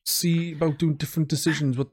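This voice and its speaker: Halting man with a slightly higher voice. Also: level tone, husky. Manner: halting, repeats word starts. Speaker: podcast host. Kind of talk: casual gaming podcast chat.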